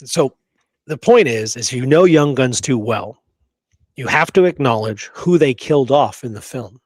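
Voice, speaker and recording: A man's voice: medium pitch, expressive, a little slow, darkish tone, no echo, clean studio sound. A man co-hosting a history talk show, chatting casually and emphatically, often checking that his listener agrees. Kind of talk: casual chat about Western history and films.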